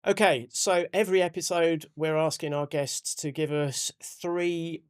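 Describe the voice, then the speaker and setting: A man's voice: a little high, steady tone, unhurried, clear, resonant tone. Male podcast host, conversational interviewer.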